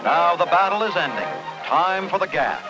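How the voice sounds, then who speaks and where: A man with an American accent narrates like a 1950s short-film announcer, his voice pitched a little high. He delivers the script with dramatic, folksy showmanship. It is an old film soundtrack with very loud music playing behind him. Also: animated, normal speed, low-quality recording. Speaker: documentary narrator. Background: loud background music.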